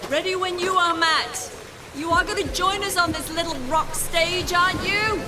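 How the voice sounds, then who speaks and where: An actress playing a sardonic, demanding, discontented wife in a radio drama, with a needling manner. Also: female voice, very high-pitched, fairly even intonation, normal speed, heavy background noise. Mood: sarcastic, urgent. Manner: sarcastic, needling.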